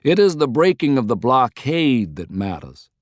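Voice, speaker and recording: A man voicing a shrewd, persuasive Confederate statesman in an audiobook, speaking in a deliberate, eloquent way. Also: mid-range voice, very animated, no echo, studio quality, natural voice. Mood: emphatic persuasion. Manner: deliberate, articulate, rhetorical.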